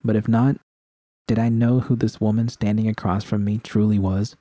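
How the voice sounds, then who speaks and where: Mellow male narrator in a dry recording. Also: fairly low pitch, level tone, normal speed, no room sound. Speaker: narrator reading fan fiction. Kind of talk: first-person dramatic story narration.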